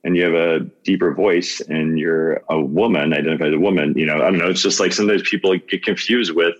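Very deep-voiced man in his fifties. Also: fairly even intonation, quick pace, highs cut off. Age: 50s. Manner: earnest, with frequent laughs and restarts. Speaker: podcast guest. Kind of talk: casual conversation.

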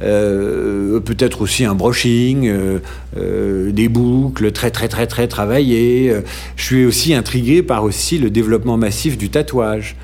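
A middle-aged man from France with a somewhat low voice answers interview questions in a slow, musing way. He pauses often and starts over, dropping a little dry humor along the way. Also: a little mellow. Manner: thoughtful, many "euh" pauses and restarts, dry humor. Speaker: interview guest. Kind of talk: hesitant conversational interview.

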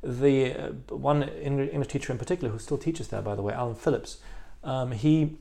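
A man in his forties from Belgium talks about his life as a podcast guest. His voice has a gravelly, creaky edge, and he speaks thoughtfully, often restarting and repeating words as he works through his memories. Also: mid-range voice, fairly quick, mellow. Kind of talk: reflective interview chat.

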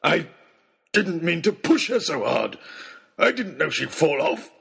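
Man acting out a husky, wildly angry madman. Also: medium pitch, expressive, takes it slowly, bright, forceful tone, full character voice. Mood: angry, accusing. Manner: menacing, blustering, roaring. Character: ragged, wild, angry, half-mad man with a gun.

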